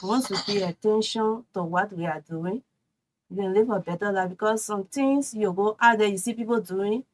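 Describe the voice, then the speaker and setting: Liberian woman, mid pitch, plain steady answers, culinary guest. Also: moderate pace, slight background noise. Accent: Liberian English. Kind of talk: casual interview answers.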